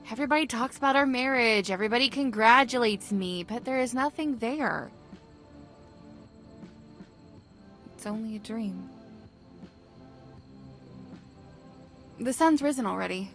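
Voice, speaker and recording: A woman's voice: a little high, a little fast, fairly bright tone, soft background music. Weary, sensible young woman. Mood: resigned, wistful. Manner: dry, exasperated, resigned. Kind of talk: visual novel voice acting.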